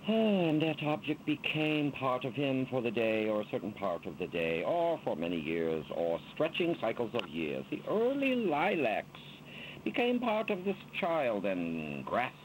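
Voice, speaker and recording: Theatrical man reciting poetry, swooping pitch. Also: a little hiss or hum, rough recording. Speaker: reader of poetry. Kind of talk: expressive, dramatic poetry recitation.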